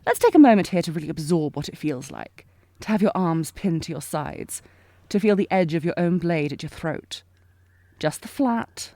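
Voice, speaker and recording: A sardonic knight bodyguard played by a woman from a fantasy audio roleplay. Her female voice sits a little low, in a dry, echo-free recording. She is taunting and commanding, fiercely protective and intense. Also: lively intonation, normal speed, warm tone. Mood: stern, menacing. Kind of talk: scripted fantasy audio roleplay, acted one-sided dialogue.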